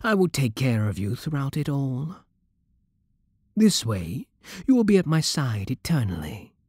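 A man with a British accent playing a possessive, seductive vampire king in an intimate ASMR roleplay, commanding and formal, with a soft, husky voice. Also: mid-range voice, very animated, no room sound, clean studio sound. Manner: formal, slow, teasing, imperious. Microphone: close, dry microphone.

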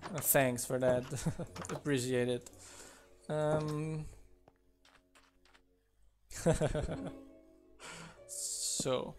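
A man's voice: medium pitch, fairly even intonation, extremely slow, long pauses, a little mellow, faint music behind. A man whose first language is not English, a mod developer chatting casually while building in a live game stream, counting blocks aloud and laughing at his own mishaps.

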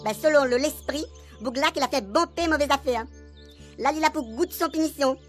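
An actor playing a blunt, cryptic spirit medium with a Réunion Creole accent in a comic radio soap. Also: male voice, very high voice, a little fast, faint music behind.

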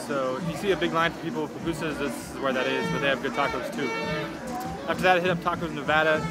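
A man's voice: on the high side, fast talker. A young man hosting a casual food vlog, talking into a phone held at arm's length outdoors, laid-back with casual slang. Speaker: food vlogger host. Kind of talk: casual handheld food review. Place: outdoor street festival on a pedestrian mall. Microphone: phone camera held at arm's length. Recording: outdoor festival with loud music and crowd. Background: loud band music and crowd.

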